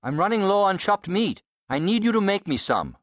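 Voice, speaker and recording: A man's voice: high voice, very animated, normal speed, a bit bright, no echo, studio quality. A male narrator voicing a good-natured father who runs a butcher shop and jokes customers out of bad moods. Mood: brisk. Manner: easygoing, teasing. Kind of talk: audiobook character voice.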